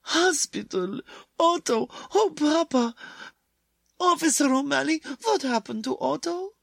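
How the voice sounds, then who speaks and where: A woman's voice: sits high, lively intonation, measured pace, vocal fry, no echo, clean studio sound, exaggerated voice. A woman acting as a frantic, tearful immigrant mother in a radio drama, speaking in gasping short exclamations. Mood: alarmed.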